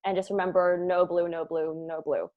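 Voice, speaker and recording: A woman's voice: lowish voice, flat delivery, moderate pace, narrow, tinny audio. A woman leading a cast meeting in casual, rambling talk with an informal, jokey manner. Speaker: show creator leading a cast table-read meeting. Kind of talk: casual chat.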